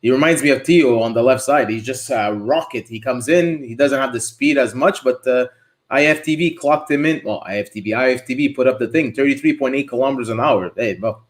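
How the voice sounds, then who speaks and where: A man's voice: mid-range voice, fairly quick. A man on a podcast chatting casually and enthusiastically about football. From Canada. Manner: animated, enthusiastic football talk. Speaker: podcast co-host. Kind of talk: casual chat.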